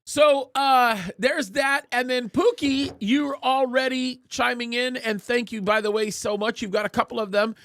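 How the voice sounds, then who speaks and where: High-voiced chatty male host. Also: fairly even intonation, moderate pace, hardly any pauses, a bit bright, no echo. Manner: chatty, joking, reads viewer comments aloud. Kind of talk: live show chat. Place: studio.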